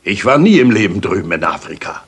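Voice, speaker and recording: A man's voice: low-pitched, sing-song, brisk, a bit bright, breathy edge, full character voice. An actor playing an indignant, grasping male villain in a radio play.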